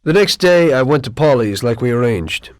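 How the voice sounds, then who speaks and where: A male actor plays a wary mob driver in a crime game cutscene. His voice is medium in pitch, and he talks in a cool, measured, economical manner. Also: animated, natural voice. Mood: guarded. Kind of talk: video game cutscene acting.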